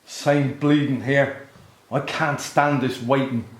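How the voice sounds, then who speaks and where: A man playing a gruff, impatient character in a radio drama, terse and grumbling. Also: mid-range voice, steady tone, slow pace, close to an everyday voice. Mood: tense, irritable. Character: impatient, gruff man waiting tensely in hiding. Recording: echoey room.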